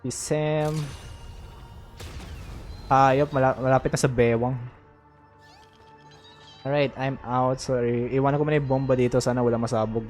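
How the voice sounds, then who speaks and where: A man's voice: mid-range voice, steady tone, moderate pace, pauses often, a little mellow, soft background music. A man with a Filipino accent doing casual livestream commentary and reading game text aloud, informally mixing English and Tagalog. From Philippines. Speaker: game streamer.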